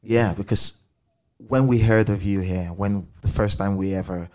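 A man's voice: on the low side, steady tone, measured pace, darkish tone. A man conducting a conversational interview, reflective, building questions in repeated phrases.

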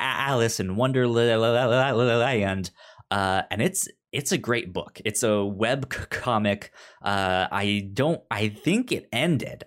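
Slow-talking man with a stutter. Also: medium pitch, a bit bright. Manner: stutters, repeating sounds and syllables. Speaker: podcast host. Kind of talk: casual podcast chat.

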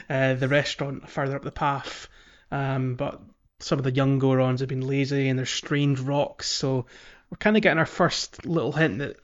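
Man, mid-pitched, casual podcast host, hesitant rambling explanations, slightly muffled lo-fi recording. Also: steady tone, moderate pace, hardly any pauses. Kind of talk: casual gaming podcast chat.

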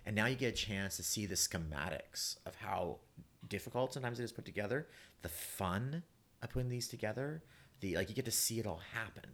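A man's voice: lowish voice, expressive, brisk, fairly bright tone. A man, a guest playwright chatting casually in an effusive, rambling way. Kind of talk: casual panel chat.

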